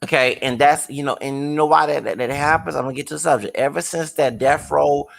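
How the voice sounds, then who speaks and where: A man's voice: on the high side, fairly even intonation, brisk, creaky, slight background noise. A man hosting a casual talk show, explaining at length with rambling, many restarts and hesitations. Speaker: show host. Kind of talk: casual conversation.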